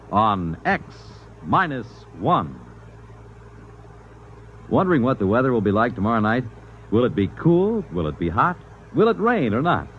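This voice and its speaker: Animated low-voiced American vintage radio announcer. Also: male voice, a little hiss or hum. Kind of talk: 1950s radio announcing, scripted dramatic narration. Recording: muffled vintage radio recording, music behind.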